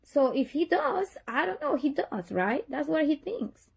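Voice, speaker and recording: Honduran woman, earnest and higher-pitched. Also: big pitch swings, measured pace, vocal fry. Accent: Honduran Spanish. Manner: earnest, repeats words and restarts often. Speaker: parent. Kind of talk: tense parent-teacher call.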